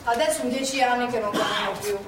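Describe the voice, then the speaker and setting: A woman's voice: on the high side, fast talker, close to an everyday voice. An actress playing an elderly Milanese former partisan woman in a theatrical monologue, nostalgic, earthy and rambling, in colloquial speech. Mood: wistful, weary. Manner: colloquial, rambling, repeating herself. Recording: echoey theatre, distant mic, faint noise.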